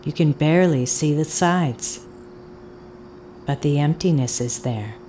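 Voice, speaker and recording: An actress playing a haunted audio tour guide in a fiction monologue, speaking deliberately and haltingly, with insistent repetition. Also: female voice, deep voice, mellow, a little hiss or hum. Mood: hushed, insistent. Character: eerie, haunted woman guiding an audio tour. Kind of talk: dramatic second-person audio fiction monologue.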